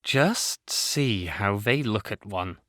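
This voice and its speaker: A man's voice: on the low side, expressive, slow, pushed and bright, no echo, studio quality, exaggerated voice. An actor playing a naive young provincial nobleman in an audio play, prissy, anxious and haughty. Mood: alarmed.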